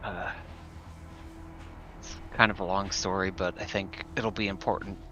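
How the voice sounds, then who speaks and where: A man's voice: low voice, little pitch movement, a little slow, sharp tone. A man thinking aloud hesitantly as he plays a tabletop roleplaying game, on a dull lo-fi recording. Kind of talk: casual in-character game chat. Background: music.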